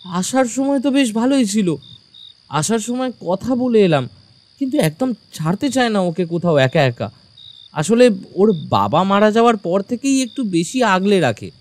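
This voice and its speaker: A man plays a young villager in an audio drama. His voice is notably high for a man. He talks in a familiar, reassuring way, like a protective friend. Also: big pitch swings, moderate pace. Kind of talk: Bengali audio drama acting.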